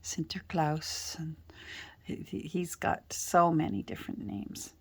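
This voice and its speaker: A woman with a stuffy, congested cold, chatting casually while she crafts, rambling softly and often dropping into a whisper. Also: low voice, animated, slow pace, slightly rough. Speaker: journal-making crafter. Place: indoors at a craft worktable.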